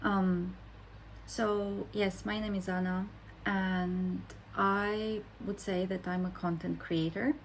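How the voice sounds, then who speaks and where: A Romanian woman in her forties, a content creator talking slowly and thoughtfully to her viewers, with many pauses and fillers. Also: fairly low pitch, creaky, darkish tone, slight background noise, low-quality recording. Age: 40s. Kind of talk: reflective casual monologue to camera.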